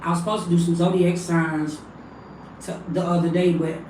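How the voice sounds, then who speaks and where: A woman with a raspy, hoarse voice, chatting loosely on a livestream as a spiritual reader and calling her viewers family. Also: lowish voice, little pitch movement, normal speed, warm tone, slight background noise. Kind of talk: casual livestream chat. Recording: echoey room, mic at a distance.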